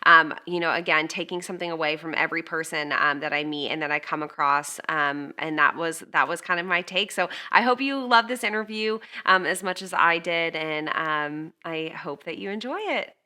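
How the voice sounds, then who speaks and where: A woman hosts a beauty podcast in a loose, chatty way. Her voice is bright and forward, sitting slightly low, and she pads her thoughts with "um" as she goes. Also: moderate pace, few pauses. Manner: chatty, many "um" fillers. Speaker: podcast host. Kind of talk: casual interview and reflection. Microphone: video call.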